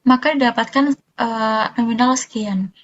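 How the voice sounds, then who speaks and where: Young woman, fairly high voice, hesitant student presenter, slightly dull lo-fi sound. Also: unhurried. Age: young adult. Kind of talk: explanatory accounting presentation.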